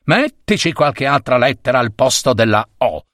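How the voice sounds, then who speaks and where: A man's voice: medium pitch, lively intonation, normal speed, a bit bright, no echo, studio quality. A man voicing a grumpy middle-aged newspaper foreman who growls his orders. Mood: gruff. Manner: growling, curt.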